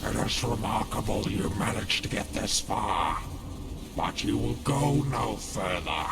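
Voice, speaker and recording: Man playing a low, slow, solemn guardian. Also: animated, gravelly. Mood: solemn menace. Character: solemn male guardian, loyal servant of a lord. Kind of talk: dramatic game voice acting. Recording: echoey room, music behind.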